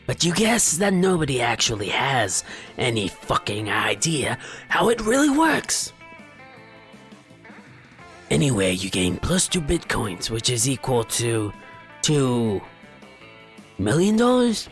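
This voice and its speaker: A man's voice: medium pitch, wildly swooping pitch, slow, pauses often, fairly bright tone, soft background music. A man hosting a gaming video, reading the story aloud theatrically and adding casual commentary.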